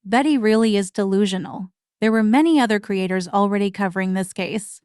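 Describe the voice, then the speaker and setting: A woman's voice: mid-range voice, brisk, darkish tone, clear, resonant tone, no room sound, studio quality. A woman hosting a reaction commentary channel, speaking bluntly and mockingly in a scripted way.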